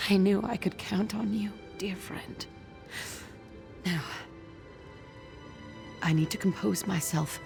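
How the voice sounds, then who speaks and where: A woman playing a frail, ailing noblewoman in a video game, halting and weary as she pleads and hides her suffering. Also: fairly low pitch, creaky, darkish tone. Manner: halting, weary, breaking into whispers. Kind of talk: dramatic game dialogue.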